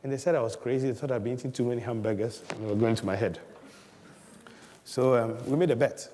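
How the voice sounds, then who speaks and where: Man from Ghana, middle-aged, relaxed storyteller, chuckles a lot, mid-pitched voice, reverberant room. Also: quick pace, slight background noise. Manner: chuckles often, mimics voices in anecdotes. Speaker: entrepreneur telling his life story.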